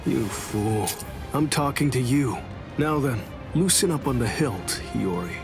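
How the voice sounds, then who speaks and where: Laid back anime captain, dry n wry, gravelly, voice moves around a lot. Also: male voice, mid-range voice, expressive, a little slow. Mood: stern, urgent. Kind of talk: anime game dub acting. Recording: music behind the voice.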